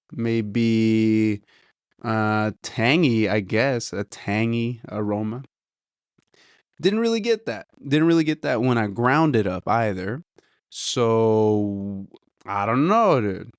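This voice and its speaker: A man's voice: fairly low pitch, clean tone, no room sound, clean studio sound. A man hosting a podcast, chatting casually and rambling as he reviews a coffee, a little nasal, saying "dude" and "you know" often. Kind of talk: casual solo chat.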